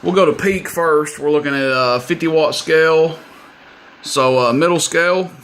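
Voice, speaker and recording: A man's voice: a little high, moderate pace, a bit bright. A man giving a casual, plain-spoken product demonstration of equipment, with folksy, hesitant wording. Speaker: radio seller demonstrating equipment. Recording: amateur video with clear background noise.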